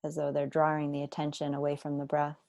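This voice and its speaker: A woman guiding a body scan meditation, speaking slowly and deliberately with gentle instructions and long pauses. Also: deep voice, completely flat delivery, gravelly, dry recording. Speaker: meditation teacher.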